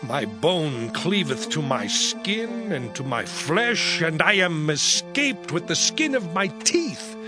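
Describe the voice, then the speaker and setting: Anguished, pleading Job. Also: male voice, a little high, big pitch swings. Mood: grieving. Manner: anguished, slow declamation. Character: suffering man pleading with his friends. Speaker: actor voicing Job. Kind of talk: dramatized scripture reading. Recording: music bed under voice.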